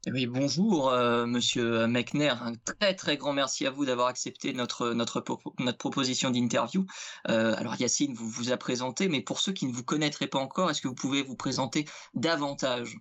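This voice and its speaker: A man's voice: medium pitch, moderate pace, a bit bright, muffled recording. A man co-hosting an interview, asking friendly questions with some hesitation. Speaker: co-host, editor.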